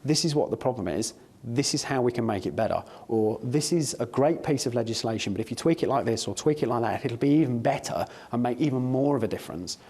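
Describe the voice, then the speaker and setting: A man's voice: medium pitch, expressive, fast, few pauses, warm tone, breathy edge. A man, a parliamentary official, explaining with earnest enthusiasm in a documentary interview. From not New Zealand.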